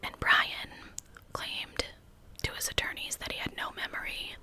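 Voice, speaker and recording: Asmr lady whispering a true crime case, crisp bright hiss to it, kinda chatty and informal. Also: unhurried. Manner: hushed whisper throughout; conversational, informal retelling.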